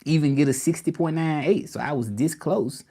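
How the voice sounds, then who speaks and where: A man's voice: fairly high pitch, moderate pace, dark tone. A man from Memphis with a Southern accent, rambling casually on a live stream and asking his viewers directly what they would do. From Memphis, Tennessee, US South. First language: English. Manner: rambling, repeats himself, questions the viewers directly.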